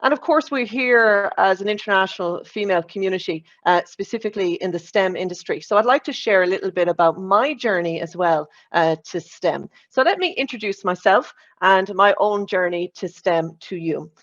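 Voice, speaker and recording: A woman's voice: medium pitch, animated, moderate pace, hardly any pauses, fairly bright tone, muffled recording. A woman in her forties with an Irish accent, giving a personal, conversational conference talk over a remote link. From Ireland. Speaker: conference speaker.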